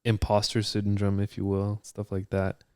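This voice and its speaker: Low, smooth-voiced man on a podcast. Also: normal speed, no echo. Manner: relaxed, brief agreeing interjections. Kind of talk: casual chat.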